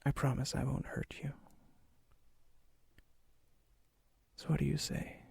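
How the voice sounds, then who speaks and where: A man playing a lonely, gently teasing vampire in an ASMR roleplay, with a soft, breathy voice that often drops into a whisper. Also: medium pitch, flat delivery, no echo, heavily acted. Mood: teasing, inviting. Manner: slow, intimate, hesitant, gently teasing.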